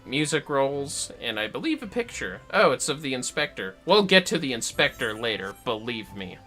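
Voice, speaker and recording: Animated man chatting on a game stream. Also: mid-range voice, normal speed, soft background music. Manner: playful, chatty, mutters asides to the game. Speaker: livestream host playing an online video game. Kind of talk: casual gaming livestream chat.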